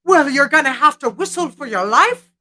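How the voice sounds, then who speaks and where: A woman's voice: high-pitched, animated, normal speed, bright, forceful tone, pitched above the performer's own voice. A woman voicing a grumpy, weary leprechaun cobbler who scolds in exasperated, folksy storybook speech. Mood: stern, bargaining.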